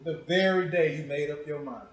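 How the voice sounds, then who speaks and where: A man's voice: on the high side, fairly quick. Teasing American man hosting, echoey room. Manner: informal, teasing, playful. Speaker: show host interviewing a guest. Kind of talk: casual, joking interview chat.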